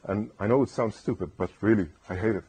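A man giving a casual technical talk at a conference, rambling and tagging "right?" onto his points in a soft, soothing voice. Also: fairly low pitch, fast talker, breathy edge. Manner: rambling, keeps asking "right?". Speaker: conference speaker. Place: lecture room.

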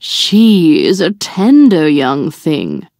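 A woman as a slow, sly storybook wolf. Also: sits low, wildly swooping pitch, vocal fry, darkish tone, dry recording, studio quality, pitched below the performer's own voice, heavily acted. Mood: scheming. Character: sly wicked male wolf, hungry and crafty.

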